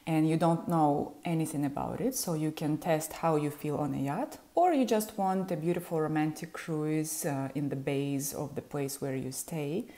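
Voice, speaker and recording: A woman's voice: low-pitched, normal speed, few pauses, warm tone. Ukrainian woman explaining to camera. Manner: friendly, explanatory, talks with her hands. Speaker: yacht charter specialist. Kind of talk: informative vlog talk to camera. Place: small indoor room at home. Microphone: earphone mic, webcam framing.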